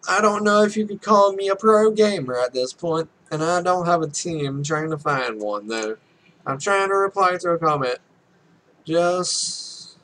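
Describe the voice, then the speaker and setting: A man's voice: on the high side, fairly bright tone, rough recording. A young man hosting a let's play, reading game text aloud with casual, irreverent, sweary asides. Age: young adult.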